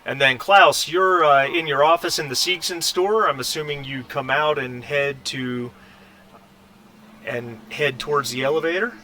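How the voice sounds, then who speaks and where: A man running a tabletop role-playing game, improvising narration and casual table talk in a halting way with drawn-out pauses. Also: a little high, background noise. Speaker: game master.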